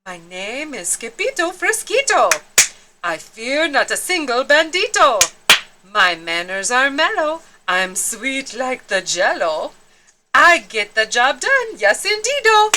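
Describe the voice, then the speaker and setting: A woman voicing an excitable, boastful little boy kitten who plays a dramatic Chihuahua hero in a storybook. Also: sits high, slow pace, very noisy, voice pushed up, full character voice. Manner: exuberant, bouncy, dramatic.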